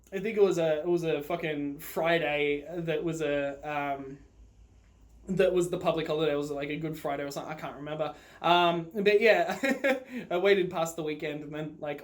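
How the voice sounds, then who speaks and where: A vlogger chats casually to viewers with a mid-pitched voice. The delivery is rambling and informal, with loose swearing and a readiness to laugh, in the style of off-the-cuff personal storytelling.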